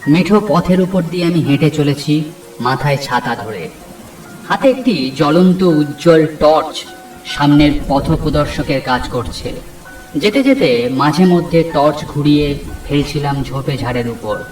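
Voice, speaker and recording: A man's voice: a little high, expressive, measured pace, a little mellow. A man narrating a scripted first-person horror story in a dramatic, suspenseful storytelling manner. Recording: echoey, distant voice over music. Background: background music.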